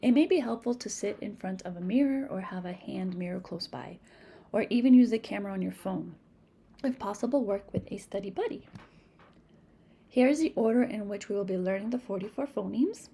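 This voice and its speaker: A patient, encouraging teacher with a New England accent and a Spanish-speaking background walks learners through speech sounds one at a time. Her voice is mellow and a little breathy. She slows down to model each sound clearly. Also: mid-range voice. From Massachusetts. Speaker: reading teacher. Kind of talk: instructional video lesson.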